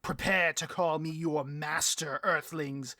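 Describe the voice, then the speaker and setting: A man playing a vain, easily riled supervillain boss in a comedic audio roleplay, grandiose and melodramatic, with a husky voice. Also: a little high, fairly even intonation, takes it slowly, bright, forceful tone, no echo, clean studio sound. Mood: bombastic. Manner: grandiose, melodramatic, petulant speechifying.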